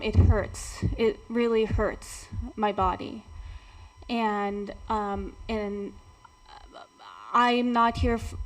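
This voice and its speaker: A woman in her thirties testifies at a podium microphone in a council chamber. She speaks slowly and haltingly, with a mid-range voice and many pauses and filler sounds. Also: mid-range voice, poor audio. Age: 30s. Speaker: public commenter. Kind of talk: public testimony at a council meeting.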